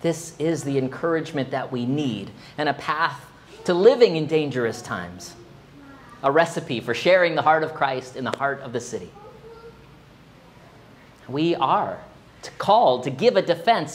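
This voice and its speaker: Expressive male pastor preaching a sermon. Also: moderate pace, a little hiss or hum. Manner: expressive, rising to emphatic high exclamations. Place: small church sanctuary, indoors.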